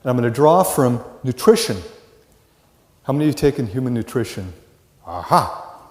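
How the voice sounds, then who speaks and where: Animated older American man lecturing in echoey hall. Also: medium pitch, normal speed. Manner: folksy, animated storytelling with rhetorical questions. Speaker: college lecturer. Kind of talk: lively classroom lecture with questions to students. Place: large indoor classroom or lecture hall.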